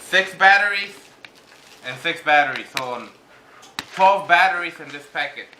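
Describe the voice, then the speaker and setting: Bright-voiced man talking haltingly in an echoey room. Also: fairly high pitch, lively intonation. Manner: hesitant, halting, switching between two languages. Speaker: vlogger. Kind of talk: casual unboxing review, talking to viewers. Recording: echoey room with faint background noise.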